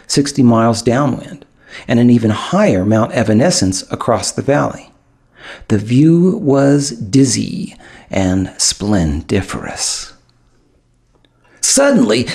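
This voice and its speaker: A man narrating a first-person memoir as an audiobook, telling the story wryly with dramatic pauses. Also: mid-range voice, gravelly, a little mellow, husky. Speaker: author reading own audiobook.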